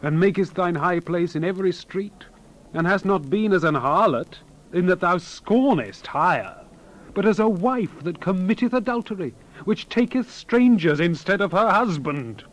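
A man voicing a wrathful God in a dramatized scripture reading, declaiming solemnly and swinging between forceful cries and hushed near-whispers. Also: high voice, lively intonation, normal speed, low-quality recording. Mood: indignant. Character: wrathful male God pronouncing solemn judgment.

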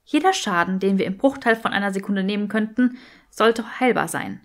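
A woman's voice: medium pitch, lightly acted. A woman voicing a determined, clever young witch in an audiobook, bossy and commanding. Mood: resolute. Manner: brisk, commanding.

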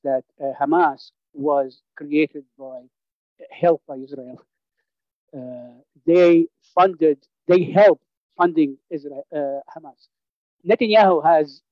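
A man with a high voice speaks into a headset on a video call, pushing his points emphatically through many fillers and restarts. The sound is dull and very dry. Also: no room sound. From Syria. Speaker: audience member. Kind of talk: impassioned political comment. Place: small home room, indoors, video call. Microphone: headset mic.

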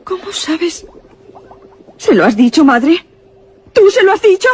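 Hija apasionada de voz aguda. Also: female voice, very high voice, very animated, slow, darkish tone, full character voice. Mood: jealous, agitated. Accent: Castilian Spanish. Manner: melodramatic. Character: passionate, defiant daughter in love, jealous and indignant. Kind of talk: suspense radio drama acting.

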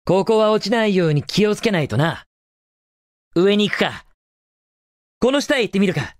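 A man's voice: sits high, expressive, moderate pace, dry recording, clean studio sound. A male actor voicing a cocky, daring young cartoon hedgehog hero in a video game, with cool, confident, casual delivery. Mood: observant, cool. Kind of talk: video game voice acting, short in-game lines.

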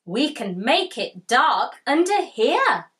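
A woman voicing an excitable, boastful young male tiger in a children's storybook, stretching words for emphasis. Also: high-pitched, takes it slowly, pitched above the performer's own voice, heavily acted. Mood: excited. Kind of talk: children's storybook character read aloud.

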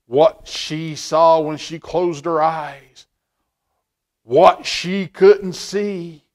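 A middle-aged man with a somewhat high voice hosts a children's storytime. He reads expressively and talks to young listeners in a folksy way, often repeating a phrase to drive it home. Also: steady tone, extremely slow. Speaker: library storytime reader, stand-in host. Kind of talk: animated picture-book read-aloud with asides to children. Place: indoor library room, seated at a table.

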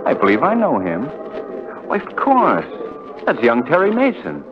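An actor playing a courteous, composed gentleman sleuth in a 1940s radio drama, polite and urbane. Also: mid-range voice, wildly swooping pitch, a little slow. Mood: genial, amused. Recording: dull 1940s radio recording, music behind.

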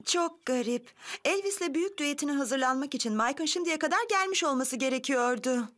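A woman's voice: sits high, lively intonation, fairly quick, a bit bright. A woman voicing a concerned, practical village woman in a children's cartoon. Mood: worried. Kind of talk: animated children's cartoon dub.

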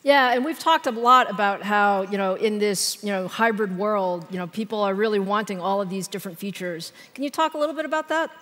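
A woman's voice: medium pitch, brisk, few pauses, fairly bright tone. Older woman presenting in a reverberant hall. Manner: upbeat, conversational presenter. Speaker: tech executive keynote host. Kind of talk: onstage keynote conversation. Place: large conference stage. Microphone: headset mic.

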